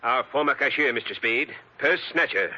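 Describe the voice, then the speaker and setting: A blunt, impatient bank president. His medium-pitched voice is extremely sharp and forceful, curt and suspicious, on a muffled old radio recording. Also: lively intonation, moderate pace. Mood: curt, urgent. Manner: curt, gruffly skeptical. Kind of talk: 1940s radio drama acting.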